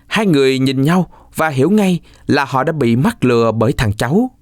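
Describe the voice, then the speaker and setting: A man narrating a children's folk tale from a script in an expressive, measured storytelling manner. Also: medium pitch, dry recording, studio quality.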